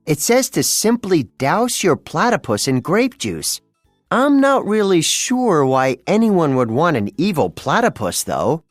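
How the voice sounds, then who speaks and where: Narrator doing an eager inventive kid, upbeat boy leader, lively pitch, storybook style. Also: unhurried, dry recording, studio quality, faint music behind. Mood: curious. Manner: eager, exclamatory.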